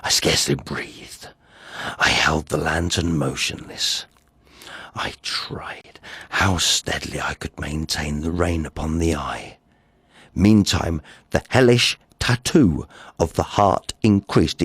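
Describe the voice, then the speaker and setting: A man's voice: mid-range voice, no echo, clean studio sound, pitched above the performer's own voice. A man playing a nervous, obsessive madman confessing a murder, in a theatrical gothic horror monologue. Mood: tense, restrained. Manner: theatrical, slow, swinging from hushed to agitated.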